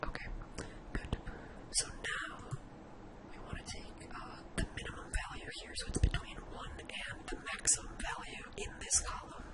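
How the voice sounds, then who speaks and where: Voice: normal speed, low-quality recording. Someone whispers a tutorial in an ASMR style, casually thinking aloud while working through each step. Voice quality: breathy unvoiced whisper. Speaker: host of an ASMR spreadsheet tutorial.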